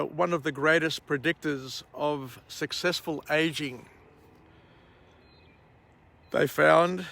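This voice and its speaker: A middle-aged Australian man with a croaky voice after a night out, hosting a rambling outdoor morning vlog and book summary at a vineyard. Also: on the high side, bright, forceful tone. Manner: slow, rambling, frequent uh and um. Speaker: vlog host.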